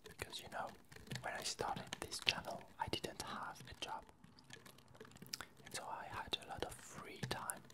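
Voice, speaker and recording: A woman giving a casual ASMR update in a whisper, speaking in halting short phrases with pauses. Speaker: ASMR video creator and host. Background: ice cubes clinking.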